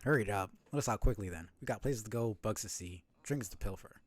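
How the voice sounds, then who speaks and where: A man's voice: lowish voice, expressive, fast talker, a little hiss or hum, natural voice. A man voicing a small, greedy, cheeky thieving bug from a video game, with a wheedling rogue's patter. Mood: impatient, demanding.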